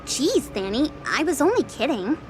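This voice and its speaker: A woman playing a playful, affectionate, girlish young companion of gods, lively and coaxing, in an audio drama. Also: sits high, moderate pace, music in the background, low-quality recording. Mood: playful reassurance. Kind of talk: audio drama character acting.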